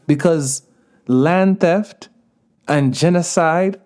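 A man with a Jamaican accent talking as a podcast guest, laughing often, slipping into patois and slowing down to stress key words. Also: a little high, a little mellow. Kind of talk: casual podcast conversation.

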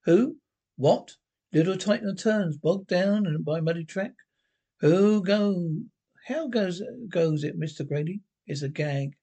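A man's voice: high voice, unhurried, warm tone. A man voicing a bitter, boastful male ex-jockey who snarls and talks defensively. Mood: startled.

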